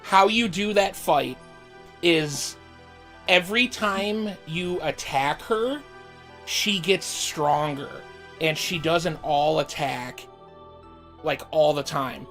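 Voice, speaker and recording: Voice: medium pitch, takes it slowly, fairly bright tone. A game streamer chatting casually and commentating for a livestream, with music underneath. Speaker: game streamer and fandub host.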